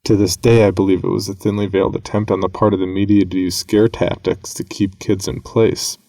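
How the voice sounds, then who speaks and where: A man narrating a scripted horror story in a grave, measured manner with dramatic pauses. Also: low voice, level tone, vocal fry, mellow, no echo.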